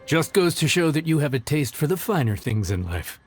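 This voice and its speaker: Friendly folksy game cook, really animated, music underneath. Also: male voice, on the high side, fairly quick. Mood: friendly, impressed. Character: genial, gracious male inn cook praising his rival. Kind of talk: video game voice acting.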